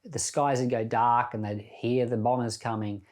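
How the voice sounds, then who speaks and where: Guy pastor doing a bible podcast, mid pitch voice, reflective and conversational, reading and explaining scripture. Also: steady tone, normal speed, a little mellow. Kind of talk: Bible reading and informal devotional commentary.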